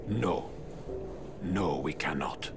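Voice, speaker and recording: A man voicing a grave, wise old rat sensei in a video game, speaking solemnly and formally in a gravelly voice. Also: extremely low pitch, lively intonation, slow, full character voice. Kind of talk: animated video game voice acting. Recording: loud music behind voice.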